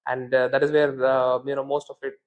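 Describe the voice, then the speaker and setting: A man hosting a podcast interview, asking questions in a halting way with many fillers. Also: medium pitch, little pitch movement, highs cut off. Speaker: podcast host.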